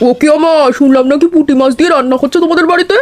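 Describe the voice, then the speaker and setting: A woman's voice: high-pitched, rapid-fire, noisy background, natural voice. A woman voicing a friendly, generous village neighbour auntie in a cartoon, with a chatty manner. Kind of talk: scripted cartoon dialogue.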